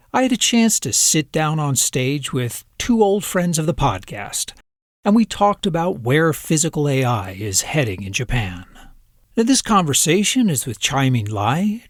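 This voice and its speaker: A man's voice: medium pitch, dry recording, studio quality. A man in his fifties who hosts a podcast and moderates a panel on stage at a conference, upbeat and talkative, now and then repeating words as he starts.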